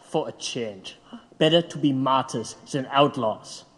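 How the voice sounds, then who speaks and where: A man playing a commanding, defiant martyr of a prisoner in an audio drama, speaking imperiously and deliberately. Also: medium pitch, a bit bright. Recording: reverberant, faint music behind.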